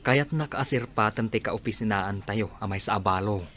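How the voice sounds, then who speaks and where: A man's voice: lowish voice, moderate pace, fairly bright tone, faint music behind. A man acting a friendly, coaxing workmate in a Filipino audio drama.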